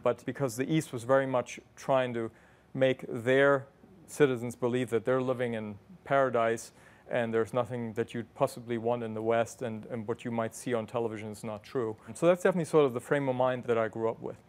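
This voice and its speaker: A man, a guest in an interview, telling his memories in a thoughtful, measured way. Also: medium pitch, fairly even intonation. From West Germany. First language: German. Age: 50s. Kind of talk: reflective conversational storytelling.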